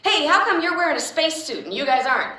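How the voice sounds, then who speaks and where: An actress playing a nervy, wisecracking, motor-mouthed prisoner in an audio drama, rambling jokily in an American accent. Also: female voice, high-pitched, expressive, quick pace, sharp tone. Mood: wry, chatty. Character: chatty, nervy, wisecracking female prisoner test subject. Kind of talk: naturalistic audio drama acting. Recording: very echoey, boxed-in room sound.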